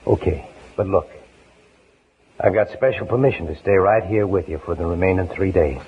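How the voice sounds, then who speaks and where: A man's voice: low voice, moderate pace. An actor playing an earnest, fatherly ex-convict evangelist in a persuasive, plain-spoken 1950s radio style. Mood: earnest, urging. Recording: noisy, tinny 1950s radio broadcast.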